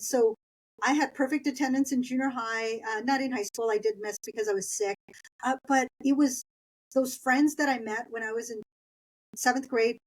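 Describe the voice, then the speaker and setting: A middle-aged woman from East Los Angeles talks through her school years in a relaxed, chatty way, slipping into little voices for her family and laughing as she goes. Spanish was her first language. Her voice sits a bit above the usual pitch for a woman. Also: poor audio. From East Los Angeles, Southern California. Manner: chatty, anecdotal, laughs while telling stories. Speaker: guest. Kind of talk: conversational interview storytelling.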